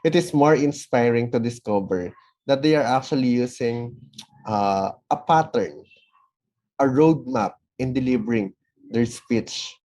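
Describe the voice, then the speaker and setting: A man giving formal, measured feedback as a judge, working through numbered points. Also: medium pitch, lively intonation, darkish tone, low-quality recording. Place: online event recording.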